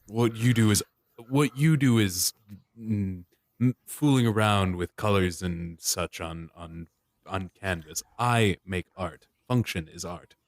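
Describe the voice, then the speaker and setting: Haughty low-voiced man roleplaying. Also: slow pace, dry recording, studio quality. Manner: haughty, fussy, self-important. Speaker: tabletop game player. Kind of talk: in-character roleplay.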